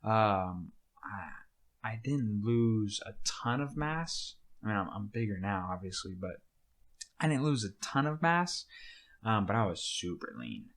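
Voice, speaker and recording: A man's voice: fairly low pitch, lively intonation, unhurried, a bit bright. A man in his twenties hosting a podcast, chatting casually in a laid-back, bro-ish way and often trailing off. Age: 20s. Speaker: podcast host. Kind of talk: casual chat.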